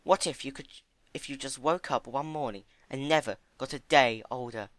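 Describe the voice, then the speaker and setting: Man voicing a gentle, timid girl pony, higher-pitched. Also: moderate pace, dry recording, natural voice. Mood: earnest. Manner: soft, hesitant. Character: Fluttershy, gentle, timid, earnest female pony. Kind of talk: dramatic fan fiction reading.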